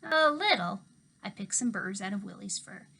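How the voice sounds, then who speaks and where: A woman teacher reading a children's novel aloud to her class, expressive, dropping to a hush for asides. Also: mid-range voice, fairly quick, vocal fry, slightly rough. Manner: expressive storytelling, hushed asides.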